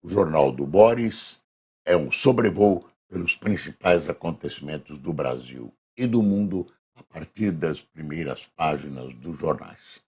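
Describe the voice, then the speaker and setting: Brief: hoarse Brazilian male news commentator, deep gravelly voice, unhurried chatty delivery. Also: animated, darkish tone. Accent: Brazilian Portuguese. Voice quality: gravelly, husky. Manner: conversational, reads headlines aloud slowly with emphasis. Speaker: news commentator reviewing front pages. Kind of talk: casual spoken press review.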